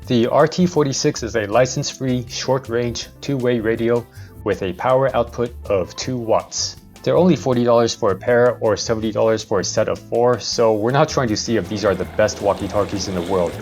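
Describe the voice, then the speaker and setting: A man's voice: medium pitch, fairly quick, a little mellow, faint music behind. A young man hosting a vlog, narrating a casual product review.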